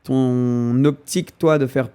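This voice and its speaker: A man's voice: medium pitch, fairly even intonation, slow pace, mellow, clean tone. A young man whose first language is English, a podcast guest who talks casually and hesitantly while he searches for French words.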